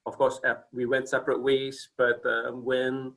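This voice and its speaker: A man's voice: mid-range voice, completely flat delivery, normal speed, creaky, a bit bright. A man in his forties from Singapore, giving a casual company presentation on a video call, hesitant with many fillers and restarts.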